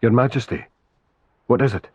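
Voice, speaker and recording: A man's voice: mid-range voice, moderate pace, a little mellow, no echo, lo-fi sound, close to an everyday voice. A man playing a dutiful royal adviser in a video game, formal and deferential. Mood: concerned. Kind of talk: dubbed video game cutscene acting.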